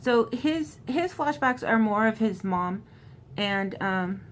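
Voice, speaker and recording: A woman's voice: on the high side, animated, takes it slowly, slight background noise. A woman in an informal, conversational style, recapping a book and reading passages from it aloud. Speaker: host recapping a horror novel.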